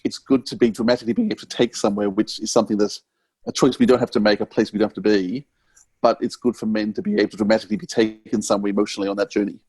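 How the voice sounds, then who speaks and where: A man hosting a podcast interview, talking earnestly and haltingly as he searches for words. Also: lowish voice, fairly even intonation, darkish tone, husky, no room sound. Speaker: podcast host.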